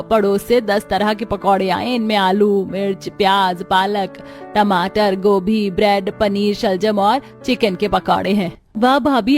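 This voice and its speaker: A woman's voice: medium pitch, close to an everyday voice. A woman voicing women of an Indian family in a Hindi cartoon story, kind and homely in temper. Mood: happy, generous. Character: warm, family-minded young Indian daughters-in-law and older women in-laws. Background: music.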